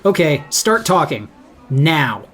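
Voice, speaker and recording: A man's voice: on the high side, very animated, slow, a bit bright, heavily acted. A man playing a flippant young superhero in an audio drama, slangy, sarcastic and blurting. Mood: shocked, insistent. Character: Bobby, flippant young male superhero, casual and indignant.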